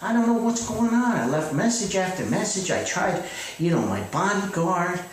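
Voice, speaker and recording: A man's voice: high-pitched, big pitch swings, normal speed, very echoey, recorded from a distance, a little hiss or hum, voice pushed up, poor audio. A man playing a deluded, optimistic, self-styled famous author who rambles and stammers fretfully in a comedy skit, on old audio taken from video. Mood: worried. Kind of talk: amateur comedy skit monologue.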